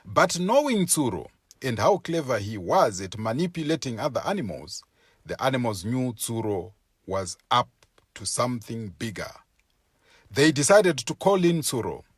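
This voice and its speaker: A male storyteller narrates a folk tale with a slightly rough, husky voice. His pitch rises and falls widely as he goes, and he takes his time over each phrase in a deliberate, measured way. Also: no echo, studio quality. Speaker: storyteller reading a written tale.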